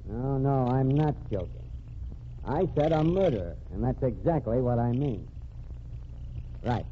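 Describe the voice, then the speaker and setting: An actor playing a cocky undercover ex-reporter in a 1940s radio drama, cool and wisecracking. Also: male voice, mid-range voice, animated, a little slow, dark tone, lightly acted. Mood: menacing. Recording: muffled old radio transcription with hiss.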